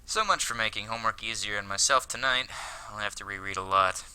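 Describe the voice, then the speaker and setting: A man voicing an earnest, lovestruck, self-conscious high school boy from an anime visual novel, in a wordy, dramatic read-aloud delivery. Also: fast, sharp tone, slight background noise, poor audio. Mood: wistful, dramatic.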